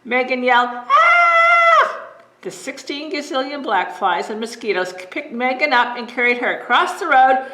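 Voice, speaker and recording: A woman librarian reading a bedtime story aloud in a gentle, expressive way. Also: sits high, moderate pace. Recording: roomy echo.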